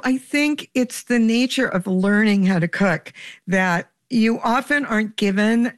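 A radio food show host interviewing a guest, asking questions with warmth and enthusiasm. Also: medium pitch, no room sound, studio quality. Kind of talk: radio interview and scripted intro.

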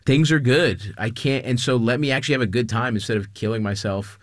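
A man's voice: mid-range voice, brisk, no room sound, clean studio sound. A man talking candidly and informally about himself as a comedian in an interview. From Greek immigrant. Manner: informal, confessional. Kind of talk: casual, candid interview chat.

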